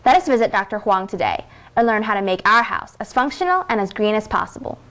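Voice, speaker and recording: Female segment host, medium pitch, scripted magazine-report delivery. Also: very animated, quick pace, fairly bright tone. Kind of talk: scripted feature presentation.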